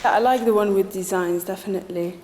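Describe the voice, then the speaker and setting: A woman interviewed about fashion, answering slowly and searching for words. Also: medium pitch. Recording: noisy recording.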